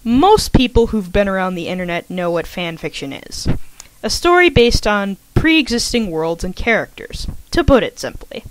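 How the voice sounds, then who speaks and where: A woman's voice: medium pitch, very animated, moderate pace, no echo, poor audio. A woman channel host giving a chatty, scripted introduction to listeners, informal and full of self-aware asides. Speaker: host of a gaming channel, fan fiction writer introducing a podfic.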